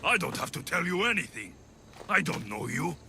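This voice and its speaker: A man's voice: on the high side, sing-song, moderate pace, vocal fry, noisy background. An actor playing a hostile young warrior confronting his estranged sister in a video game cutscene, with a contemptuous edge. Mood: cold. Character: bitter young male warrior, estranged brother.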